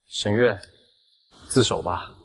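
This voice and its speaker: Stern male detective played by a man, audio drama; low pitch, controlled, grave questioning. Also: slow pace, a little hiss or hum, poor audio. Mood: stern, probing. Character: stern, restrained young male police detective, protective. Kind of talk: dramatized audio fiction acting.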